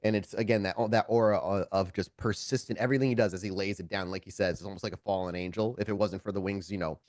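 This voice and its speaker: A man with a fairly low voice runs a tabletop game in a dry, close recording. He improvises descriptive narration, often rephrasing mid-thought as he sets a scene. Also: steady tone, quick pace, darkish tone, no room sound. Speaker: game master (storyteller).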